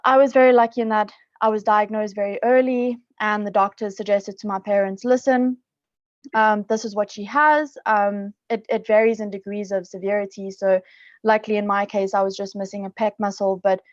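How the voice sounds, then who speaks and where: An upbeat woman from South Africa chats as a podcast guest, filling her sentences with "you know" and "um." Her voice sits at an ordinary pitch, close and dry, with a slightly dull, lo-fi sound. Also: steady tone, normal speed, dry recording. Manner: chatty, upbeat, many fillers and restarts. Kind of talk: casual interview talk.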